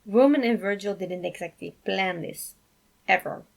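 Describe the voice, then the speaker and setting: A woman narrating a piece of fan fiction in an expressive storytelling style. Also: mid-range voice, moderate pace, vocal fry, a little hiss or hum.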